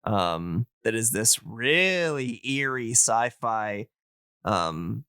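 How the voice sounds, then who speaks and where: A man's voice: on the low side, lively intonation, extremely slow, pushed and bright, clear, resonant tone, no echo, studio quality. A man in a casual podcast chat, enthusiastic but hesitant as he searches for his words.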